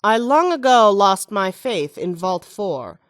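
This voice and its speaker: A woman's voice: medium pitch, sing-song, takes it slowly, no echo, clean studio sound. An actress playing an ambitious, scheming female mayoral candidate in a video game, speaking with self-assured, oratorical delivery. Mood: dramatic recitation.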